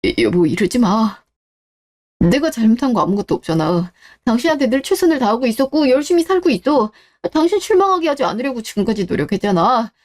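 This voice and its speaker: A woman's voice: a little high, expressive, fast, a little mellow, natural voice. A woman voicing an earnest, emotional husband, loyal to his wife and embittered, in a melodramatic radio drama. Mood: pleading.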